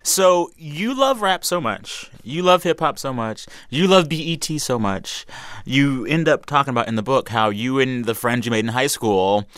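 A man's voice: mid-range voice, animated, moderate pace, fairly bright tone, clear, resonant tone, no room sound. A man hosting an interview in a casual, chatty way, playful and full of slangy exclamations. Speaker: podcast and radio interview host.

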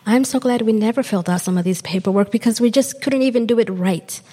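A woman's voice: a little high, quick pace, dark tone, husky. A woman who grew up in Somalia, speaking as a panel guest from a refugee aid group, earnest and often restarting her sentences. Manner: earnest, searching for words with restarts. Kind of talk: panel discussion. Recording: long hall reverb.

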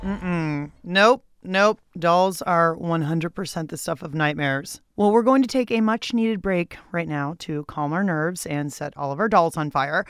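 A woman's voice: lowish voice, measured pace, few pauses, clean tone, dry recording. Playful woman hosting a radio show, dry studio. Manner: playful, cheeky. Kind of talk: chatty scripted radio narration.

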